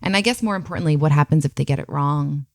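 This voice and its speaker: A woman's voice: low-pitched, fast talker, no room sound, clean studio sound. A woman in her thirties hosting a podcast, asking curious, thoughtful questions. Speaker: podcast host. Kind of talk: interview questions.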